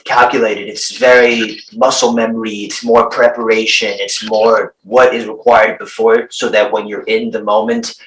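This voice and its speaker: A man's voice: on the low side, little pitch movement, moderate pace, hardly any pauses, slight background noise. A male podcast host who asks questions and encourages his guest, restating the guest's points. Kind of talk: casual interview. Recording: roomy, distant from the mic.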